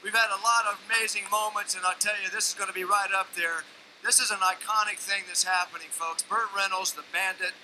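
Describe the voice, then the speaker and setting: A man's voice: high-pitched, steady tone, brisk, background noise. A man hosting a live auction, hyping up the crowd in a large arena. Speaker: auction show host.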